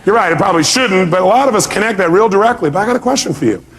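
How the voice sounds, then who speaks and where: High-pitched man giving a motivational seminar. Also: lively intonation, racing, fairly bright tone, a faint crowd. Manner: emphatic, asks rhetorical questions and often answers them himself. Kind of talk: live motivational seminar talk with questions to the audience.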